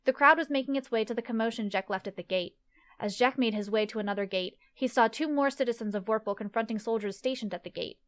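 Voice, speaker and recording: A woman's voice: a little high, fast, fairly bright tone. A woman reading scripted narration for a fantasy story as its narrator.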